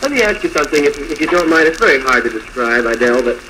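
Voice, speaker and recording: A man hosts an old radio interview with a highly animated, fairly high voice. He is playful and keeps cutting in with questions. The 1940s recording is crackly and noisy. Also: fast talker. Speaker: radio show host.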